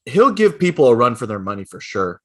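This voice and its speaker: A young man co-hosting a podcast, chatting in a relaxed way, often chiming in to agree. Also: mid-range voice, fairly quick, slightly rough. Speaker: podcast co-host. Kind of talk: casual chat.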